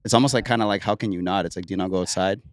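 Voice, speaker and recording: A man's voice: low-pitched, racing. A man on a panel, talking in a rambling way and restarting his sentences, in a casual group discussion. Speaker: panel guest.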